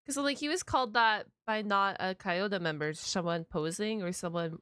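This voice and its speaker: A woman's voice: medium pitch, normal speed, a bit bright, smooth, no room sound, studio quality. A woman giving a casual live reaction commentary, exclamatory and slangy, repeating words as she addresses her chat. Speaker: reaction video host.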